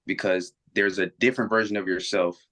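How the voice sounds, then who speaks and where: Young man, twenties, very low voice, reflective and rambling, video-call guest. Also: fairly even intonation, brisk, fairly bright tone. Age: 20s. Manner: earnest, rambling with many restarts. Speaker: interview guest. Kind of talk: reflective casual conversation.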